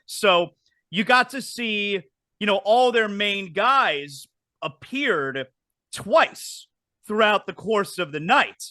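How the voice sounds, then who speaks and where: High, bright-voiced talk show host. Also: male voice, lively intonation, slow pace, no room sound. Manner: chatty, repeats words when restarting. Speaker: podcast host. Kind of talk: casual wrestling talk show chat.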